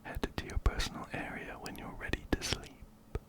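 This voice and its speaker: A man whispering gently and breathily in an ASMR game playthrough, up close to a binaural microphone, unhurried and softly repeating words. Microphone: close-up binaural microphone, ear to ear.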